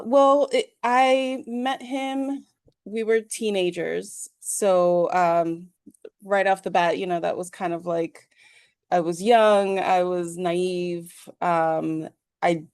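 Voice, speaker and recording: A woman from New York with a smooth, clear voice tells a personal story as a podcast guest. She goes slowly and haltingly, thinking as she speaks, in a close, dry sound. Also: lowish voice, a little mellow, no echo. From New York, USA, born in Peru.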